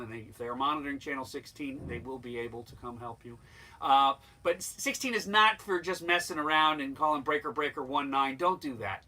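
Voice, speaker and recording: A man's voice: medium pitch, bright, forceful tone. An American man giving an informal, plain-spoken instructional demonstration as a sailing instructor, emphatic and repeating his points.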